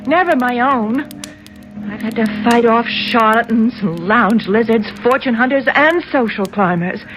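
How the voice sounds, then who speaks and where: A defiant young heiress in a radio thriller. Her voice is fairly high, emotional and scornful. Faint music plays underneath. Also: unhurried, a bit bright. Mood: bitter, pleading. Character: defiant, lovestruck runaway daughter of a powerful man. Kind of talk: radio drama acting.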